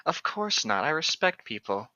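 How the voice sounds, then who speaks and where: A man's voice: fairly high pitch, very animated, moderate pace, sharp tone, highs cut off, slight background noise. A man co-hosting a read-along show, reading passages aloud and breaking off into casual, mocking jokes. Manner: jokey, mocking.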